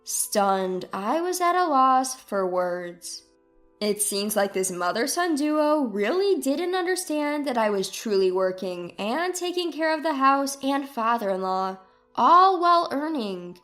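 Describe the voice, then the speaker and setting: A woman's voice: high voice, very animated, a little slow, fairly bright tone, soft background music. A woman reading a scripted first-person story as its narrator.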